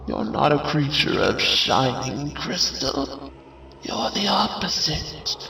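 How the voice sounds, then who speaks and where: Villainous shadow pony mother, sweetly menacing and dramatic, sharp gravelly edge, echoey distant recording. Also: mid-range voice, heavily acted. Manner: slow, dramatic, coaxing delivery. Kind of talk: fan comic dub villain acting. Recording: echoey, distant, faint noise.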